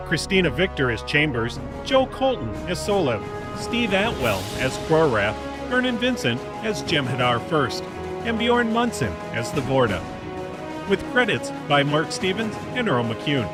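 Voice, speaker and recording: A man's voice: a little high, very animated, unhurried, over loud music. A man reading scripted end credits as the announcer of an audio drama.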